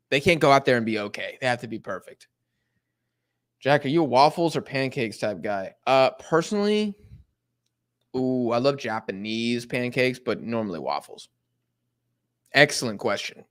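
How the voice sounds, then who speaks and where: A young man chats loosely through a live football watch-along. He rambles in slang, with plenty of fillers and restarts. His voice sits at an ordinary middle pitch. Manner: slangy and rambling, lots of fillers, sudden excited outbursts at the match. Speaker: live stream host reacting to a football match. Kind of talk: casual live watch-along chat, reading chat messages.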